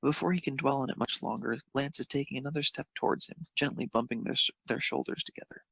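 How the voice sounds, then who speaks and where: Male narrator for fan fiction, mid-pitched with a rough, creaky edge, scripted read. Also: quick pace, pushed and bright, no echo.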